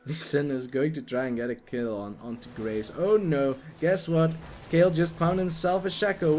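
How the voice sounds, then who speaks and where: A young man with a Dutch accent giving excitable, jokey live commentary on a video game, talking to the players on screen. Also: on the high side, a little hiss or hum.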